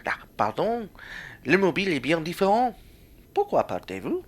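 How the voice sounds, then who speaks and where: An actor in a student radio play, playing a pompous, declamatory republican democrat who fancies himself a philosopher. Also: male voice, high voice, huge pitch swings, normal speed, breathy edge, exaggerated voice. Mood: indignant. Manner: declamatory, theatrical. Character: pompous grandiloquent republican democrat man, self-styled philosopher.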